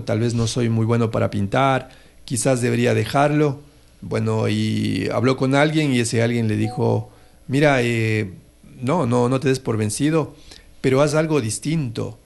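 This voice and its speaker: A male radio host with a gravelly, fry-laden voice. He pitches in the middle of the male range and talks haltingly, full of fillers and restarts, as he tells a short moral tale on air. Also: darkish tone. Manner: hesitant, many fillers and restarts. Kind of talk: casual on-air talk with a moral story.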